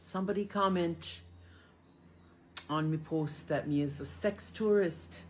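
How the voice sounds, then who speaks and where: A woman's voice: fairly low pitch, a little slow, a little hiss or hum. An older woman who grew up in Canada, casually talking to the camera in Jamaican patois. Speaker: vlogger. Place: rural Jamaica, outdoors.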